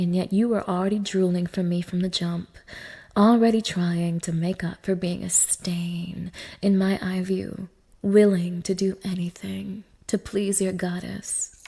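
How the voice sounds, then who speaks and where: A woman's voice: fairly low pitch, level tone, a little slow, mellow. A woman playing a cruel, scornful dominatrix in an intimate ASMR-style audio roleplay, talking down to her listener with open contempt. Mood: cold, mocking. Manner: sneering, scornful, swearing, swinging from breathy murmurs to raised snaps. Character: cruel, contemptuous young dominatrix belittling a submissive man.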